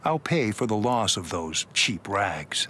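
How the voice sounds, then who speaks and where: An easygoing underling in an anime game. His voice is fairly low, with a lively, swinging melody and a casual, flippant manner. There is faint noise behind him. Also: slow pace, full character voice. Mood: breezy, placating. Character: breezy, carefree male subordinate of a captain. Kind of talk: anime game dub acting.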